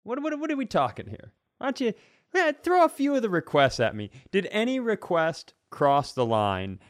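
A man's voice: sits high, huge pitch swings, moderate pace, no room sound, studio quality. A man co-hosting a comedy podcast, chatting casually and acting out imagined quotes as he tells stories.

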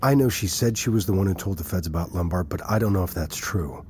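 An actor playing an anxious middle-aged father and security chief in a naturalistic audio drama, halting but reassuring. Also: low-pitched, darkish tone, a little hiss or hum, close to an everyday voice. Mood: guarded.

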